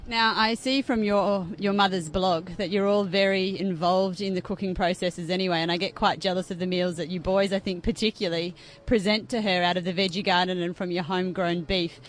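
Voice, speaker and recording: A woman interviewing people at an outdoor food event, asking lively, chatty questions. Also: on the low side, brisk, few pauses, a little hiss or hum. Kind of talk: radio interview.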